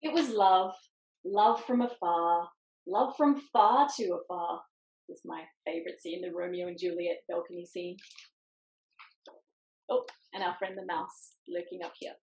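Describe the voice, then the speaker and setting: A woman reading a picture book aloud to children over a video call, expressively, with dramatic pauses and little asides. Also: lowish voice, darkish tone. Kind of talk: animated children's storytime reading with quiet asides. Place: indoors, online video call in an echoey room. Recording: roomy echo on video call.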